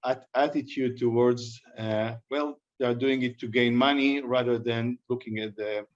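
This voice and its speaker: An Israeli man opens a lecture on a video call. He speaks haltingly and often restarts a thought before he finishes it. The audio is dull and lo-fi. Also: mid-range voice, steady tone. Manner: halting, with restarts and self-corrections. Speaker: guest presenter. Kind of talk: online lecture introduction.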